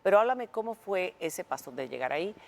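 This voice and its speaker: Measured, reflective talk show hostess. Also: female voice, fairly low pitch, sing-song, gravelly. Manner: measured, reflective, rephrases as she goes. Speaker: TV show host. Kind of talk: interview questions. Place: TV studio.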